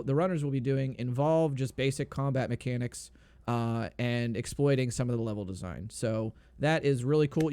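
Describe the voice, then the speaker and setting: A man's voice: mid-range voice, warm tone, clear, resonant tone, dry recording. A man commentating a video game speedrun race, explaining in a laid-back way with lots of fillers.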